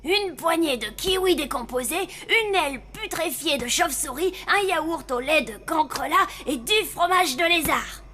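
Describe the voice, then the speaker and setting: A woman's voice: sits high, expressive, moderate pace, hardly any pauses, sharp tone. A woman voicing a stubborn, eager young zombie boy who argues insistently. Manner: insistent, argumentative. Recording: cartoon dub with faint music.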